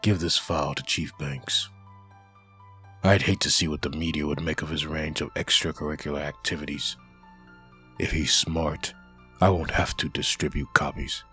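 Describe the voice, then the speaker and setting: Very deep, menacing undead antihero. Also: male voice, fairly even intonation, breathy edge, soft background music, exaggerated voice. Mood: cold menace. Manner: slow, forceful, commanding threats. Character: menacing undead male antihero threatening crooks and cops. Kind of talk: comic book audio drama acting.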